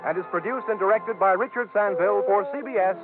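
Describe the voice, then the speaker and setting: A man's voice: sits high, fast. A man working as a network announcer, reading scripted promos and credits in vintage radio style. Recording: tinny old radio broadcast recording. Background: faint music.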